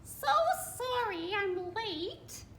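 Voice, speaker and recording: A woman's voice: very high voice, expressive, drawn-out words, a bit bright, reverberant, slight background noise, voice pushed up, heavily acted. A woman voicing a spiteful wicked storybook fairy, snarling with mock sweetness and barking out her words. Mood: mock sweet.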